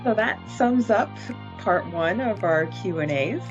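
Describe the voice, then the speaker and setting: Casting: woman co-host, mid pitch, casual filler-heavy talk, slightly dull lo-fi mic, faint music behind. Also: lively intonation, measured pace. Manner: chatty, enthusiastic, filler-heavy. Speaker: podcast co-host. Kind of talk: casual fan podcast chat.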